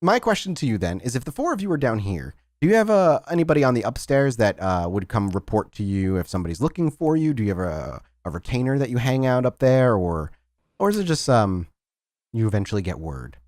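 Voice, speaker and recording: A man's voice: mid-range voice, big pitch swings, brisk, darkish tone, no echo, studio quality. A man acting as game master, improvising narration and putting questions to players in a tabletop roleplaying game.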